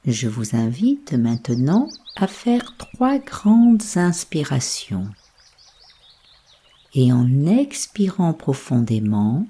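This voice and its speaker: A woman guides a bedtime relaxation with a low, mellow voice. She speaks very slowly and softly, with breath in the tone. Her delivery is hushed and soothing, leaving space between instructions. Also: slightly rough. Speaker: guided meditation teacher. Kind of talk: slow guided yoga nidra sleep meditation.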